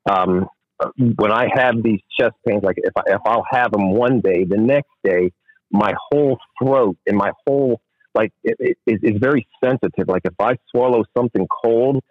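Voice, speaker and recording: A hoarse man with a very deep voice calls in over a muffled phone line. He speaks in a worried, halting way, stopping and restarting often. The sound is dry and close. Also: no room sound. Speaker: caller.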